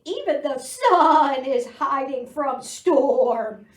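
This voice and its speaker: A timid storybook sheep, voiced by a woman, bleats anxiously in a high, animated voice. It sounds shivery and worried, in a roomy space. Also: very slow, far from the mic, heavily acted. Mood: frightened.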